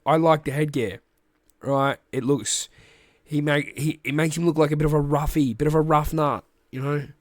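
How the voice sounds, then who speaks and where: A man's voice: a little high, steady tone, normal speed, no echo, clean studio sound. An Australian man with a cold, phlegmy and coughing now and then, hosting a rambling, casual rugby league recap. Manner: rambling and chatty, with restarts and asides. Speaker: rugby league podcast host.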